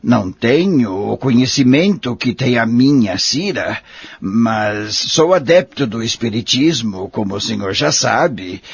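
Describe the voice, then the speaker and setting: An actor playing a kindly, patient old man in an audio drama, raspy and gravelly, gently persuading and moralizing. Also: medium pitch, no room sound, highs cut off, heavily acted. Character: kindly, patient old spiritist friend, an elderly husband.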